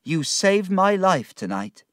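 Man voicing a desperate, high-pitched poor young woman. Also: very animated, slow pace, no room sound, studio quality, full character voice. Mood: grateful, earnest. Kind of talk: audiobook character acting.

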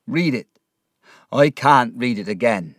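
Man acting Ham, a slow grieving young man. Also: medium pitch, lively intonation, dry recording, clean studio sound. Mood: distressed. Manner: slow, heavy, halting.

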